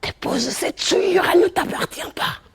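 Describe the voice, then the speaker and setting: A woman performing a poetic theatre monologue on stage, incantatory, moving between whispers and loud declamation. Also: extremely high pitch, moderate pace, creaky.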